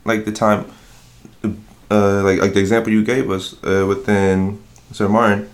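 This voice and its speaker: Brief: deep, low-pitched man, easygoing guest, loose rambling delivery, lots of fillers. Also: a little slow. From New Jersey. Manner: relaxed, rambling, many "like" fillers. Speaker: rap performer, interview guest. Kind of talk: casual interview talk. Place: home dining room.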